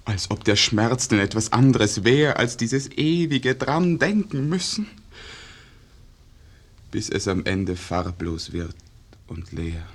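Melancholy pupil, husky, unhurried, elegiac declamation, 1950s radio drama. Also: male voice, fairly low pitch, lively intonation, exaggerated voice. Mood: anguished. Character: melancholy, grief-numbed male painter's pupil.

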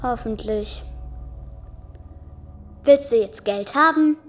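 A woman's voice: a little high, slow pace, a little hiss or hum. A woman playing a wary but bold, questioning eleven-year-old abducted girl in a German radio drama. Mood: anxious, probing.

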